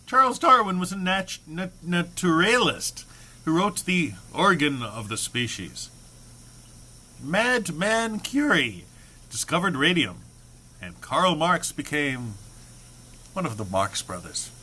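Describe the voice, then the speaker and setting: Animated, bright-voiced man reading mock-seriously. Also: a little high, slow pace, husky. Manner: mock-serious, with dry muttered asides. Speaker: reader. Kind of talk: dramatic comic reading of a humorous text.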